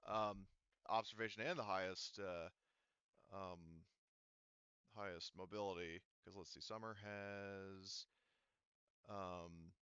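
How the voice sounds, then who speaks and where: A man's voice: on the low side, fairly bright tone, clear, resonant tone, dry recording, lo-fi sound. A man running a tabletop roleplaying game as game master, explaining things casually and somewhat hesitantly.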